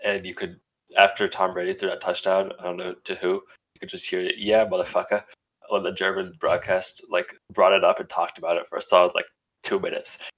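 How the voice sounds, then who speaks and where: Low-voiced man teasing on a podcast. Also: little pitch movement, fairly bright tone, low-quality recording. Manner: teasing, quick questions. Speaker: podcast co-host. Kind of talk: casual chat.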